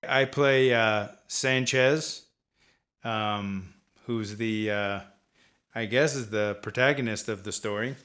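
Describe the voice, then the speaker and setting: A man with a slightly low voice gives casual interview answers as an actor. He speaks hesitantly, over faint background music. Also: takes it slowly, a bit bright.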